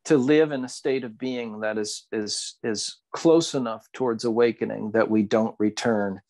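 A man with a fairly low voice talks in a reflective, conversational way as a podcast co-host. He searches for his phrasing, repeating words as he goes. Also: fairly even intonation, moderate pace, darkish tone. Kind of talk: reflective casual discussion of spirituality.

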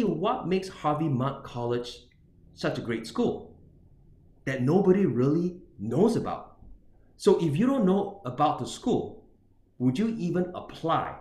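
A man's voice: medium pitch, echoey room. Man advising on universities. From Singapore. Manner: repetitive tag questions, emphatic. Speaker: international student advisor. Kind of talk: webinar presentation on choosing universities. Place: indoors with a virtual background.

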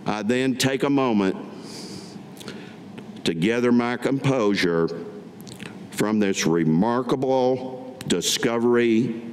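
An older man from Kentucky giving a staged reading of his own memoir writing, in measured, deliberate phrases. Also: medium pitch, a little mellow. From Kentucky, United States. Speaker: veteran reading his own written piece. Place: public meeting room, at a podium. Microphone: podium gooseneck microphone. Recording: echoey hall with background noise.

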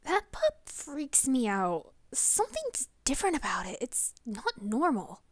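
Grown wolf, played by a woman: wary, disdainful, deliberate, fairly high voice, dry sound, cartoon comic dub. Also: wildly swooping pitch, no echo, full character voice. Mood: uneasy, scornful.

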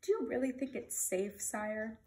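An earnest young storybook page boy voiced by a woman talks in a fairly high voice. He sounds anxious and urgent, his lines full of exclamation. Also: very animated, measured pace, mellow. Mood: alarmed, uneasy.

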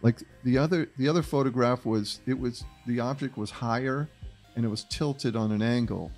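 Gravelly middle-aged male podcast host. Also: mid-range voice, moderate pace, darkish tone, soft background music. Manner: explains step by step, repeats phrases. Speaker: podcast host presenting a case. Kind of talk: casual chat, walking through photos. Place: small studio with red backdrop. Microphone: studio mic on boom arm, headphones.

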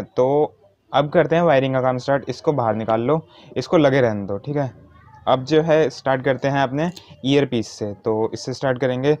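A man's voice: mid-range voice, a little mellow. A man giving an informal hands-on tutorial step by step, mixing in English words. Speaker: motovlogger giving a how-to demonstration. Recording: dull, muffled lo-fi sound.

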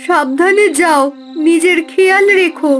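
A woman playing a gentle, caring young mermaid in a cartoon fairy tale, soothing and concerned. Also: very high voice, measured pace, faint music behind.

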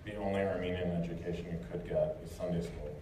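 Low-voiced man chatting in an echoey hall. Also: fairly even intonation, quick pace. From United States. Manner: loose and chatty, many fillers and restarts. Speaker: lecturer and translator. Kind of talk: casual Q&A after a talk. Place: lecture hall. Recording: echoey hall, distant mic, noisy.

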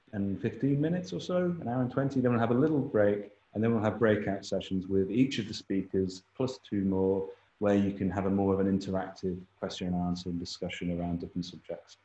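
A man's voice: lowish voice, dark tone. A man in his forties giving informal opening remarks as organizer of an online event. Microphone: computer mic on a video call. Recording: echoey room, dull video call audio.

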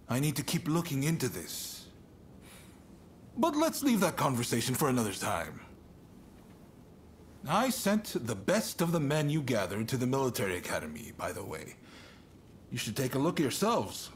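An actor playing a shrewd, commanding shogunate official in a video game, authoritative, deliberate and a little wry. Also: male voice, mid-range voice, slight background noise. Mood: grave, approving. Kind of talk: video game cutscene acting.

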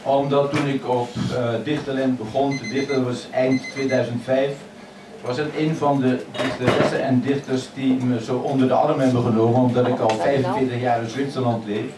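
A man reading his poems aloud in a measured, expressive way at a microphone in a crowded old café. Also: mid-range voice, distant mic, noisy background. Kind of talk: poetry reading. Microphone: stand mic, filmed from across the room. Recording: echoey café filmed from afar, loud chatter.

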